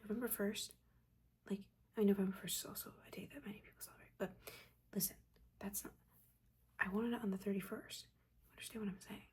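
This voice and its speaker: A woman voicing a judgmental but caring witch mentor in a breathy, chatty, chiding whisper, in a soft-spoken ASMR roleplay. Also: medium pitch, fairly even intonation, fast talker, pauses often, lightly acted. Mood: chiding, fussy. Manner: chatty, chiding, casual slangy asides.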